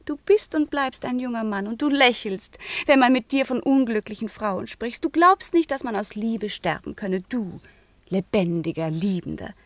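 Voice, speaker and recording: An actress playing a proud, melancholy eighteen-year-old convent girl in a classical radio drama, with intense, declamatory delivery that often drops to a hush. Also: on the high side, lively intonation, normal speed, dry recording, close to an everyday voice. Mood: indignant, reproachful. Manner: intense, declamatory, often dropping to a hushed whisper.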